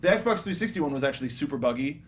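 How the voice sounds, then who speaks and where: A man co-hosting a casual film review panel, talking in a halting, stop-start way. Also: medium pitch, expressive. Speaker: co-host of a film review show.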